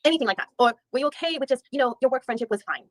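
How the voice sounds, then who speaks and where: A woman reads a dogged female attorney working through a deposition. She fires off methodical questions at a very quick clip, often restarting mid-sentence. The sound is close and dry. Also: high-pitched, fairly bright tone, no echo. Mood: probing. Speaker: reader in a deposition reenactment. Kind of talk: read-aloud legal reenactment.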